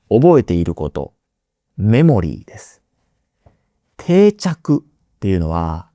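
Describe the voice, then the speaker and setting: Man, language teacher, very slow and deliberate, mellow voice, dry close mic. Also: mid-range voice, dry recording. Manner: explains words in simple terms, playful asides. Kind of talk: slow, clear podcast lesson for learners.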